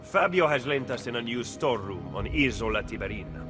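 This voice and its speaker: An actor playing a shrewd, skeptical Renaissance conspirator with an Italian accent in a video game cutscene. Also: male voice, mid-range voice, expressive, a little fast, over music, close to an everyday voice. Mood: measured, conspiratorial.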